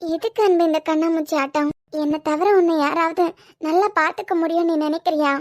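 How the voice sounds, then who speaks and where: A woman's voice: very high-pitched, little pitch movement, fairly quick. A woman playing a tearful young woman in love, pleading with the man she loves. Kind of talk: romantic audio drama acting.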